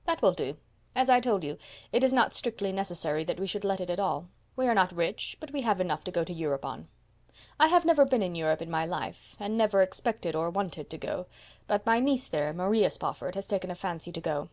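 A woman doing a brisk, proud old spinster. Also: lowish voice, lively intonation, a little mellow, lightly acted. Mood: emphatic. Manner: emphatic, decisive.

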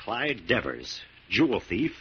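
An actor playing a confident, take-charge American crime-fighter in 1940s radio style, brisk and authoritative, on a muffled old recording. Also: male voice, on the low side, wildly swooping pitch, husky, exaggerated voice. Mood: assured.

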